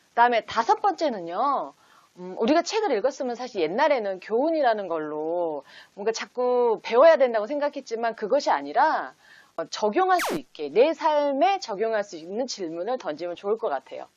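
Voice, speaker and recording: A woman's voice: a little high, no room sound, dull audio. A woman hosting an instructional talk for parents, explaining step by step and posing example questions as if to a child. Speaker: host teaching parents how to read picture books with children. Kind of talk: instructional video talk in numbered steps.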